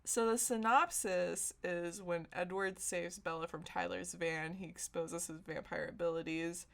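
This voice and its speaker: A woman's voice: on the low side, steady tone, a little slow, gravelly. A woman hosting a podcast, chatting casually and reading fan fiction aloud, prone to breaking into giggles. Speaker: podcast host.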